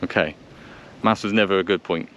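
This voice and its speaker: A man's voice: sits low, fairly even intonation, brisk, a bit bright. A man presenting a casual outdoor vlog, thinking aloud and hesitating as he chats to camera and over a radio. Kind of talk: casual to-camera commentary and radio check chat. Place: outdoors by a vehicle in the countryside. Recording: noisy outdoor vehicle background.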